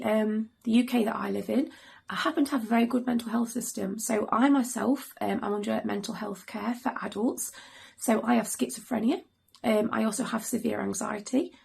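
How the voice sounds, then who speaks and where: A hoarse woman in middle age speaks earnestly to her viewers with lots of pauses and ums. Her voice is heavily gravelly and deeply raspy, and it sits a little high for a woman. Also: darkish tone. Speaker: mother and channel host. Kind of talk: earnest serious vlog talk to camera.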